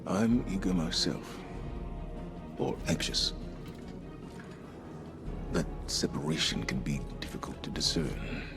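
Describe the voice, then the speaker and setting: An actor playing a grave, thoughtful commander in a video game, speaking in a measured, reflective way, over game music. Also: male voice, very deep voice, very animated, vocal fry, exaggerated voice.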